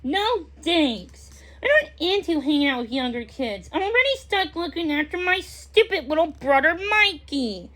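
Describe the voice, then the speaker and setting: Storybook mean girl of twelve , woman reader: high voice, grumpy, boastful, curt. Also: sing-song, moderate pace, a bit bright. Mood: dismissive. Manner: snappy, drawling, dismissive.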